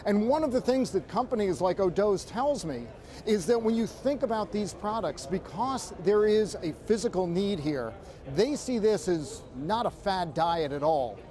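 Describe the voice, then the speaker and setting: Upbeat high-voiced man reporting from the floor. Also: animated, normal speed, dark tone. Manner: upbeat, conversational, addresses the anchor by name. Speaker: trade show floor reporter. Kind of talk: walk-and-talk product report. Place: trade show exhibition floor.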